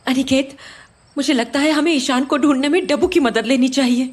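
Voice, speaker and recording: A woman's voice: sits high, steady tone, fairly quick, natural voice. A woman playing a distraught but determined mother in a horror audio drama, earnest, emotional and pleading. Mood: urgent pleading. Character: distraught determined mother haunted by her lost brother.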